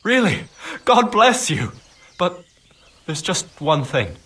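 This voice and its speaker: An actor playing a humble, jumpy runaway farmhand in a video game drama, speaking hesitantly and earnestly. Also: male voice, high-pitched, very animated, slow pace, bright, forceful tone, breathy edge, heavily acted. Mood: anxious, pleading.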